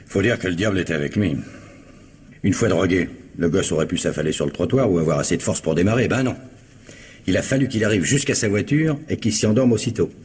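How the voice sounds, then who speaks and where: An actor playing a world-weary police investigator in a 1950s-style French radio crime drama, explaining in a measured, dryly ironic way. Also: male voice, deep voice, animated, a little mellow. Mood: explanatory. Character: world-weary male police investigator, matter-of-fact and sardonic. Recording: noisy old radio drama recording.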